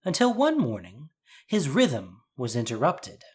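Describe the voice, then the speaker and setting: A man's voice: medium pitch, huge pitch swings, measured pace, slightly rough. A man narrating a fantasy audio drama as a scripted, dramatic storyteller.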